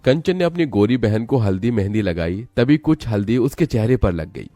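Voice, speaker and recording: A man's voice: lowish voice, lively intonation, moderate pace, warm tone, no echo, soft background music. A man narrating a scripted, dramatic story as a storyteller.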